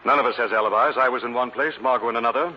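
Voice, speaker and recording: An actor playing a calm, shrewd gentleman detective in old radio drama style. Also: mid-range voice, racing, lightly acted. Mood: grave, deliberate. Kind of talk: 1940s radio drama acting. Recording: muffled, noisy old radio recording.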